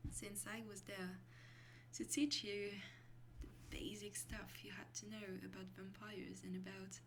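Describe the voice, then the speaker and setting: A woman playing an affectionate young vampire girlfriend in an ASMR roleplay, speaking softly and intimately in a hesitant, breathy voice that often drops to a whisper. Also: mid-range voice, flat delivery, natural voice. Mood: fond, nostalgic. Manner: slow, hesitant, intimate, with small breathy laughs. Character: affectionate young female vampire girlfriend mentoring a newly turned lover. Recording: clearly noisy recording with hum.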